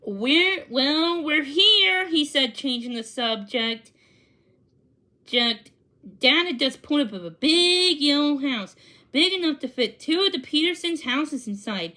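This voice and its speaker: Storybook reader: female, high voice, unhurried and halting, with self-corrected stumbles. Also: animated, pushed and bright. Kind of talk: read-aloud storybook narration.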